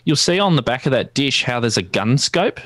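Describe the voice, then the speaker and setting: An Australian man giving an informal technical presentation in a halting, explanatory way. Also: mid-range voice, lively intonation, fairly bright tone, dry recording. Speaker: guest presenter, amateur radio enthusiast. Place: indoors at a desk, video call.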